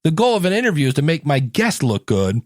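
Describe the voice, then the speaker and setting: A man with a fairly high-pitched voice talks as a podcast host and coach. He is conversational and animated, slipping into quoted asides to illustrate his advice. The recording is close and dry, with little room sound. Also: fast talker, dry recording. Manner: animated, acts out quotes to make points.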